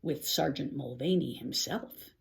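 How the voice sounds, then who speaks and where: An older woman reads a rhyming children's story aloud in an expressive, theatrical way, with musing exclamations and dramatic pauses. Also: rumbling low, gravelly, slight background noise. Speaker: picture-book reader.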